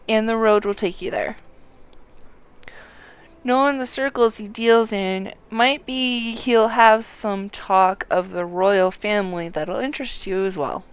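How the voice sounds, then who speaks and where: A woman voicing a brisk, familiar tavern keeper who teases and bosses while handing out work in a video game. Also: mid-range voice, smooth. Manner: folksy, teasing, bossy. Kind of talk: video game quest dialogue read aloud.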